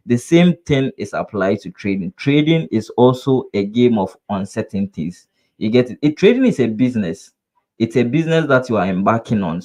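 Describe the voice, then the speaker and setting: This is a man with a Ghanaian English accent who runs a live trading webinar. His voice sits at an ordinary middle pitch. He addresses his viewers directly and earnestly. He often circles back on phrases and poses rhetorical "what?" questions. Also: darkish tone. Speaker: trading instructor.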